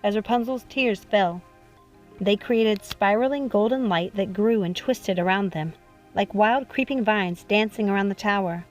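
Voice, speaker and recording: A woman's voice: medium pitch, moderate pace, soft background music, poor audio. A woman narrating a storybook expressively as an audiobook reader.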